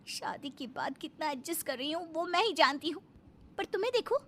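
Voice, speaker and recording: A woman's voice: sits high, lively intonation, normal speed, fairly bright tone, voice pushed up. A woman voicing an aggrieved, sarcastic young wife in a cartoon story, complaining in a plaintive, dramatic way.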